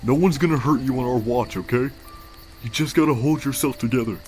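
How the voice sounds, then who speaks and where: Guy doing a tired skeleton big bro, casual n halting, tender under the jokes, music behind. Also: mid-range voice, a little mellow, close to an everyday voice. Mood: gentle, serious. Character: weary, wisecracking skeleton big brother, secretly anguished. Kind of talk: comic dub character acting. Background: background music.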